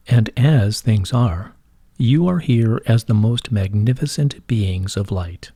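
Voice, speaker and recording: A man reading the part of a serene, wise collective of light beings in formal, measured, solemn phrasing from a scripted dialogue. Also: fairly low pitch, mellow, breathy edge, no echo, clean studio sound, full character voice. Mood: solemn reassurance. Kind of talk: scripted channelled dialogue read aloud.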